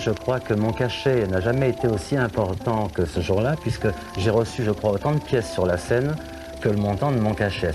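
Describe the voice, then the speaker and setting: A middle-aged man from France, a singer guest on a TV show, telling anecdotes in a rambling way with many hesitations. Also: on the low side. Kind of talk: chatty interview talk.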